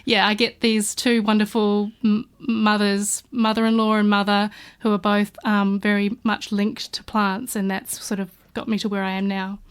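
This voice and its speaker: A woman's voice: mid-range voice, level tone, moderate pace, few pauses, clear, resonant tone. A woman from New Zealand in casual podcast chat, telling stories with frequent fillers and restarts. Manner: chatty, warm anecdotes with many fillers and restarts. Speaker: guest artist studio partner. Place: studio.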